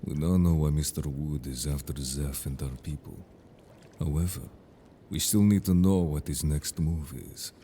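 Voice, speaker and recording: A man voicing a stern, protective merfolk guard in an audio drama, speaking in a measured, formal, serious way. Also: very deep voice, warm tone, a little hiss or hum. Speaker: voice actor in an audio roleplay. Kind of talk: scripted audio drama roleplay.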